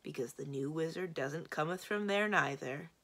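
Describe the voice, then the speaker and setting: A woman voicing a glum, loyal male monster with several heads, speaking in slow, mournful, ungrammatical phrases. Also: sits low, fairly bright tone, slightly rough, heavily acted. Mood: patient, weary. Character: three-headed male monster, glum, plodding, uneducated, loyal.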